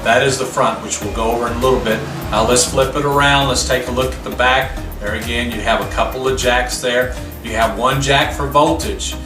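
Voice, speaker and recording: A man's voice: mid-range voice, fairly even intonation, fairly quick. A man giving a plainspoken, step-by-step product demonstration, often posing a question and answering it himself. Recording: roomy, distant mic, music behind.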